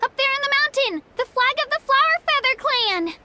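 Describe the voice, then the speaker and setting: A woman's voice: very high voice, expressive, fairly quick. A woman voicing a spirited, eager and loyal young warrior girl in a video game. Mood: upbeat.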